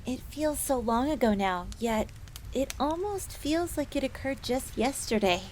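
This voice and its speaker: A woman's voice: fairly high pitch, darkish tone, a little hiss or hum, lightly acted, low-quality recording. A woman playing a devoted, easily flustered young bunny maid in a roleplay audio drama, speaking of herself in the third person. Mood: wistful, adoring.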